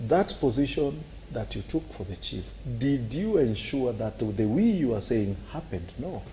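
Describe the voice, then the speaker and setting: A man's voice: medium pitch, sing-song, unhurried, dark tone, a little hiss or hum, rough recording. A man with a Kenyan accent, arguing animatedly on a talk show and acting out scenes with sound effects. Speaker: political commentator.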